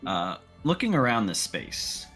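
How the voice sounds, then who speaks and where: A man's voice: on the low side, sing-song, a little slow, fairly bright tone, soft background music. A man playing a tabletop game, playful, describing what his character does. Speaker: player.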